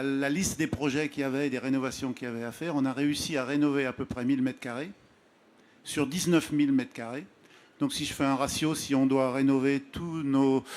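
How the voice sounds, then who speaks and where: A middle-aged man takes the floor at a conference as a local mayor. His pitch is medium. He talks spontaneously, often pausing and doubling words while he looks for the right one. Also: darkish tone. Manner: hesitant, repeating words as he searches. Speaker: small-town mayor. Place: conference room.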